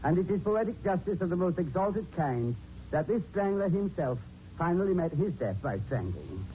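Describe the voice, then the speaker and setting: Pompous Lord Mayor, dark-toned. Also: male voice, sits high, big pitch swings, moderate pace. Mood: solemn, pompous. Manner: pompous, formal, declamatory. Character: pompous, solemn Lord Mayor reading a proclamation. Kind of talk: 1940s radio drama acting. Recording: dull hissy 1940s radio recording.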